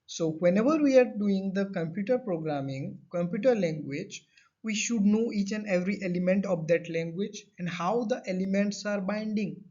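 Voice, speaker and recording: High-voiced man lecturing, dull audio. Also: moderate pace, darkish tone. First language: not Hindi. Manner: repeats and rephrases points; explains with everyday examples. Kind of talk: classroom lecture.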